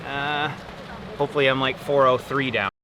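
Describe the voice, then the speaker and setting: A man's voice: medium pitch, fairly even intonation, normal speed, crowd noise. A man chatting casually, wry and self-deprecating. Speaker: pro triathlete. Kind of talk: casual vlog chat.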